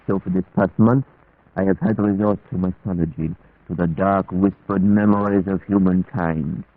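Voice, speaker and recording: Man as a grave, low-voiced old professor. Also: fairly even intonation, lightly acted. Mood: grave, insistent. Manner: solemn, deliberate, foreign phrasing. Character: grave, insistent old Dutch professor, vampire hunter. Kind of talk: old-time radio drama acting. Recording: muffled, narrow-band old radio recording.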